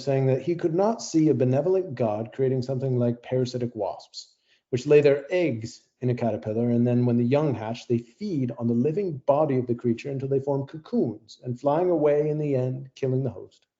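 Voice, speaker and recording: A man's voice: medium pitch, warm tone, dull audio, natural voice. A man playing a blunt, coldly rational AI project leader lecturing in an audio play debate. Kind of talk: radio play debate acting.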